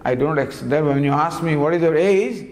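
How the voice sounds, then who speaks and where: A man giving a spiritual discourse on scripture, teaching in a lively, gently humorous way with many rhetorical questions, recorded in a lecture hall. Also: a little high, fast talker. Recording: long hall reverb, faint background noise.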